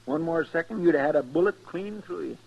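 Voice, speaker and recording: An eager, high-pitched western sidekick with a country American drawl. He sounds loyal and excitable, on a muffled vintage radio drama recording. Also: a little fast, vocal fry, slightly rough, heavily acted. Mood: earnest, agitated. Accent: American rural drawl.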